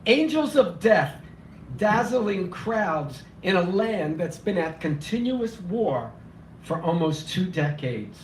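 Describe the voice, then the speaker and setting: High-voiced man reciting poetry, slightly hoarse. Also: very animated, slow, a little hiss or hum. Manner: impassioned, declamatory, rhythmic repetition. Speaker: open mic poet. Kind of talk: spoken word poetry reading. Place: small cafe open mic. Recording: echoey room, voice from far off.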